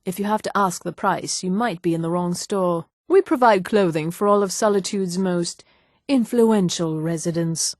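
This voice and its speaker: Woman playing a haughty high elf lady, low and dry. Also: normal speed, a little mellow, no room sound, natural voice. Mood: haughty, courteous. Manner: haughty, condescending, precise and polished. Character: haughty, refined female high elf townswoman and shopkeeper. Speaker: video game character. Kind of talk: scripted game dialogue.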